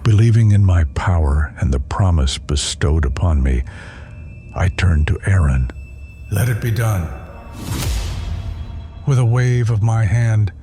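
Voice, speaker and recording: Guy narrating an audio drama, theatrical and solemn, really slow, loud music behind him. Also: lowish voice. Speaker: narrator and voice actor. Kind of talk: scripted dramatic first-person narration.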